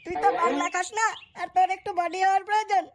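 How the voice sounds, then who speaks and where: A performer playing a scheming, foul-mouthed, lovesick young man in a comic cartoon dub, talking crudely and excitably. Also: very high voice, fast, background noise. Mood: sly, gloating.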